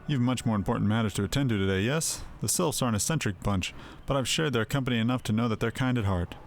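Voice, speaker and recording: A man's voice: medium pitch, fast talker, slight background noise, natural voice. A man voicing a hearty, chatty retired adventurer and innkeeper in a fantasy game, with folksy old-fashioned phrasing. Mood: jovial, friendly.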